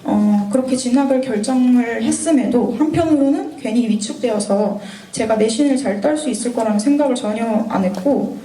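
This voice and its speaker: A woman's voice: on the high side, brisk, few pauses, dark tone, echoey room, distant mic, slight background noise, rough recording. A woman in her twenties from North Gyeongsang with a sore throat, giving an earnest, lightly scripted mentoring talk to students. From North Gyeongsang, Korea. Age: 20s.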